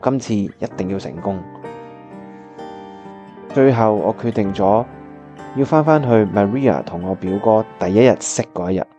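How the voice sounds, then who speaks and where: A man's voice: lowish voice, moderate pace, long pauses, mellow, natural voice. An actor with a Hong Kong Cantonese accent playing a lovestruck, scheming young man who narrates a radio drama in colloquial, earnest style over music. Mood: earnest, determined. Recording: dull lo-fi audio with music behind.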